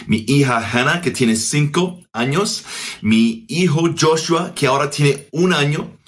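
Australian man, English native, halting speech, searching for words, at home. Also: on the high side, expressive. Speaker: guest worship minister. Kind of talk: casual video message.

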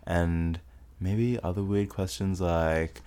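A man's voice: deep voice, steady tone, slow pace, dark tone, clear, resonant tone. A man with an Australian accent hosting a casual podcast chat. He fills his talk with "like" and laughs easily. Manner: says "like" constantly, laughs often. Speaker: podcast host.

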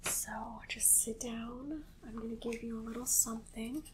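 A woman whispering an ASMR roleplay as a calm, reassuring alien medical examiner, repeating short reassurances in a hushed, soothing way. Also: on the high side, normal speed, heavy background noise.

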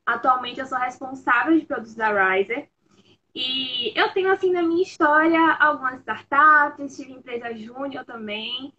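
A young woman hosts a live stream in an upbeat way, speaking directly to her audience. Her voice is high and sharp. It comes through dull, lo-fi video-call audio with a faint hiss underneath. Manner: upbeat, addresses the audience as "galera". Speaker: product lead hosting a live stream. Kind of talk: casual live-stream hosting.

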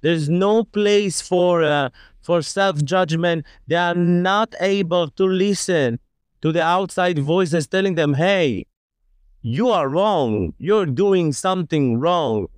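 A man speaking bluntly and with passion on a discussion podcast. His voice is smooth and resonant, pitched a little higher than most men's, and heard close and dry. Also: takes it slowly, no echo. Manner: blunt and impassioned. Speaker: guest.

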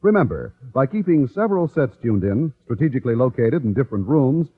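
A man's voice: mid-range voice, big pitch swings, fairly quick, husky. A man with an American accent reading a scripted 1950s network radio promo as the announcer. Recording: tinny, phone-like vintage radio recording.

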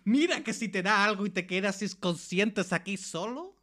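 A woman's voice: medium pitch, expressive, moderate pace, fairly bright tone, lightly acted. A woman with an accent from Spain voicing a mocking, gloomy anxiety that intrudes and taunts, in an amateur comic radio play. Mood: taunting.

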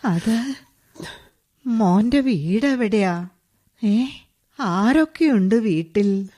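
Elderly bedridden widow, Kerala accent, very slow, husky, sighing, motherly but firm, radio play. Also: mid-range voice, animated, dark tone. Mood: probing, concerned. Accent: Kerala Malayalam. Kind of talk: radio drama acting.

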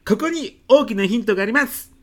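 A man in his fifties playing an earnest department manager who presents in a young woman's girlish manner, in polite, expressive business speech for a comedic radio drama. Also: sits high, quick pace. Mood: gushing, dreamy. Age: 50s.

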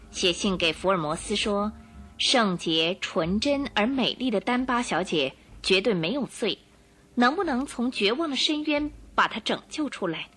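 A woman narrating a radio drama in an expressive, suspenseful storytelling manner. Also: mid-range voice, breathy edge.

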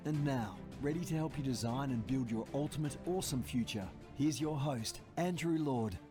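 A man reading a scripted podcast intro in a smooth, measured announcing manner. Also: medium pitch. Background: intro music.

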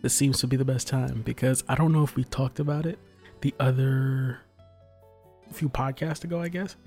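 A young man co-hosting a casual late-night podcast, keeping his voice soft and hushed so he won't wake a sleeping child. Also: medium pitch, fairly even intonation, normal speed, soft background music. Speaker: podcast co-host.

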